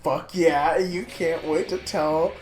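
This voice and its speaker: Brief: foul-mouthed joking let's-play gamer, mid-pitched voice, roomy echo under music. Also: fairly even intonation, slow, a little mellow. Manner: profane, joking, reads game text aloud. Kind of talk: casual game commentary with a co-host. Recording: roomy room with background music.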